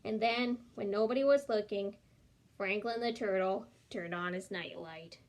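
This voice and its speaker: A young woman reading a picture book aloud, gentle and expressive. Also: mid-range voice, unhurried. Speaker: storyteller.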